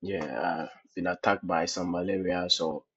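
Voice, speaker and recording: A man's voice: low-pitched, fairly even intonation, measured pace, fairly bright tone, husky. A man with a cold hosting a screen-recorded cloud lab tutorial, explaining step by step with many "okay, so" fillers. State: has a cold. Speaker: tutorial host. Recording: dull lo-fi screencast audio.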